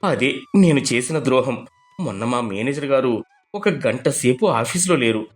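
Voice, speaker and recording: An actor playing a stern, penny-pinching middle-class husband who talks down to his family in an animated moral story. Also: mid-range voice, moderate pace, faint music behind, natural voice. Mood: ashamed, panicked. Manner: scolding, questioning. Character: stern, tight-fisted middle-class husband, bank clerk, belittling his wife.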